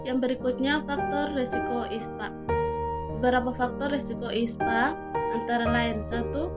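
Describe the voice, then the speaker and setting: A young woman, a nursing student, reading a health lesson and often stumbling and restarting words. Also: fairly high pitch. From Indonesia. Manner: halting, frequent restarts on words.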